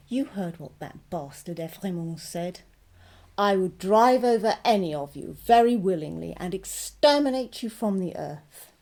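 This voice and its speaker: An actress playing a fierce, patient, vengeful revolutionary wife in a theatrical period drama, speaking with deliberate, commanding rhetoric. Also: female voice, fairly low pitch. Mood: bitter anger. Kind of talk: dramatised classic novel, theatrical acting. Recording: loud guitar music behind.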